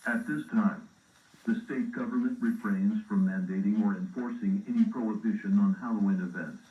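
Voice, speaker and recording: A man voicing a formal, impersonal emergency broadcast announcer, reading an official alert in a measured, stern way. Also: on the low side, narrow, tinny audio, close to an everyday voice. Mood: grave, official. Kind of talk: formal scripted public alert. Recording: roomy, distant, faintly noisy broadcast playback.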